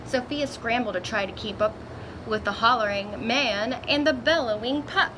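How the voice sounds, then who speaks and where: A woman with a fairly high voice reads a children's story aloud. She is expressive and acts out exclamations as she goes, pausing for asides to her young listeners. Clear background noise runs under the homemade recording. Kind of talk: picture-book read-aloud with asides to children.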